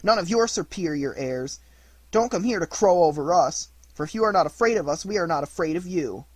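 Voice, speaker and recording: Bully schoolboy, loud and taunting, played by the narrator. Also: mid-range voice, expressive, a little fast, slightly rough, no echo, pitched above the performer's own voice.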